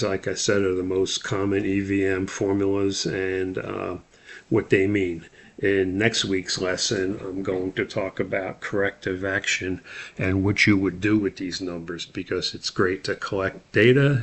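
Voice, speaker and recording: Guy teaching a lesson over slides, low voice, pretty flat, explains it step by step. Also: a little slow. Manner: methodical, explanatory. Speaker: instructor. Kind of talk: lecture explaining slides and formulas. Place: voice-over on a slide screen recording.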